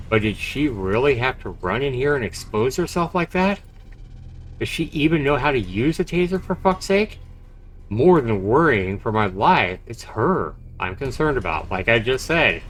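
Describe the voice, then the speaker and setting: A man's voice: medium pitch, a bit bright, slight background noise. A man livestreaming a visual novel, reading the text aloud dramatically and reacting expressively as he comments. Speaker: game streamer. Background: game music.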